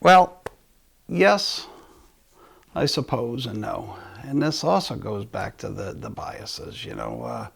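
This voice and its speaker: A man's voice: mid-range voice, huge pitch swings, slow pace, creaky. A man hosting an audio channel, talking casually to his listeners in a rambling way, often restarting sentences mid-thought.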